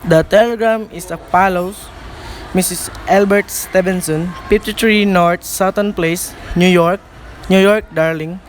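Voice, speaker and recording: A man's voice: sits high, steady tone, measured pace, faint music behind. A man playing a businesslike telegram clerk in an amateur radio play, reciting formally. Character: brisk, formal telegram clerk reading out a message.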